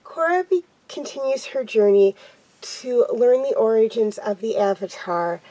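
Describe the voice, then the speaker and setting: A young woman with a cold and a stuffed-up, nasal voice, hosting a casual TV episode review and talking haltingly with many pauses and ums. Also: a little high, expressive, dark tone, rough recording. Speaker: show host reviewing TV episodes.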